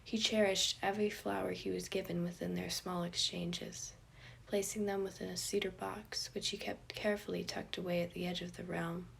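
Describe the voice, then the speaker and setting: A woman reading scripted fiction as a narrator, with a slightly husky, breathy voice. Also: fairly low pitch, little pitch movement, moderate pace, slight background noise. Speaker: podfic narrator.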